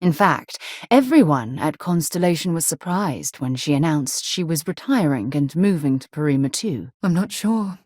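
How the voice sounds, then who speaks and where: An actress playing an earnest, principled woman space explorer in a video game, speaking in an articulate, expressive way. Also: low voice, moderate pace, hardly any pauses, no echo, clean studio sound. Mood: composed, thoughtful. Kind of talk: video game character dialogue.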